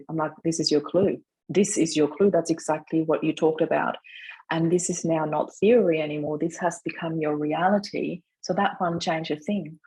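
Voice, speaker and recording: Middle-aged woman, husky and creaky, telling her story. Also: low voice, darkish tone, poor audio. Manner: reflective, emphatic, acts out remembered remarks. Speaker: podcast guest, grief coach. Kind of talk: personal storytelling in an interview.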